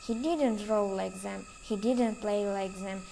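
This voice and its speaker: A woman's voice: medium pitch, fairly even intonation, slow, vocal fry, warm tone, a little hiss or hum. A woman reading scripted narration for a children's audio play.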